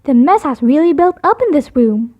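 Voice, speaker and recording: A woman's voice: high voice, animated, moderate pace, muffled recording. A woman voicing a firm, reasonable father bear in a storybook. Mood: firm, lecturing.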